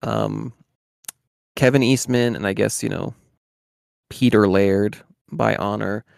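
A man talking casually as a podcast co-host, animated and full of fillers. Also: on the low side, slow pace, vocal fry, no echo, clean studio sound. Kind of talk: casual chat.

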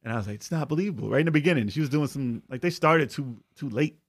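A man's voice: mid-range voice, animated, quick pace, husky, no echo, studio quality. A man co-hosting a podcast, chatting informally and giving his opinions on movies. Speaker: podcast co-host. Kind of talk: casual chat about movies.

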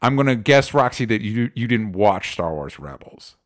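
A man's voice: on the low side, very animated, moderate pace. A man co-hosting a casual podcast, rambling, with frequent restarts and fillers. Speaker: podcast co-host.

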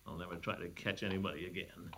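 A man's voice: flat delivery, quick pace, fairly bright tone. An actor playing a pompous, blustery middle-aged man in a 1940s radio comedy. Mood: grumbling. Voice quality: booming, blustery. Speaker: lead character. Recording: old radio broadcast with audible noise.

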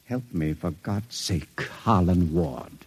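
An actor playing an intelligent, sensitive long-term sanitarium patient in an old radio drama, speaking in a measured, cautious, educated way. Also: male voice, deep voice, breathy edge, full character voice. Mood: uneasy, confiding.

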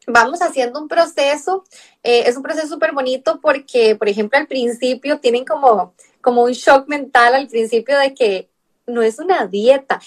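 A young adult woman from Costa Rica with a Costa Rican accent, a nutritionist guest explaining patiently and often tagging sentences with a questioning aside. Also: sits high. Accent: Costa Rican Spanish. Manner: explanatory, fills with rhetorical "¿verdad?". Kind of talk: conversational interview.

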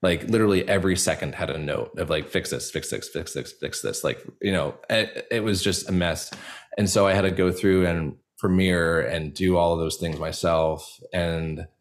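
A man speaking reflectively as a podcast guest, earnest and halting, with a self-deprecating way of talking. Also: low voice. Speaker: podcast guest, audio drama creator. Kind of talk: reflective interview conversation.

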